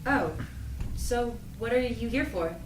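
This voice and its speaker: A young woman playing an earnest, self-doubting young shop worker in an audio drama read-through, speaking in an informal, expressive way. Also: a little high, slow pace. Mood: awkward, friendly. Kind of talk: audio drama cast reading. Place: echoey room at a cast read-through. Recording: roomy, echoing, distant mic, background noise.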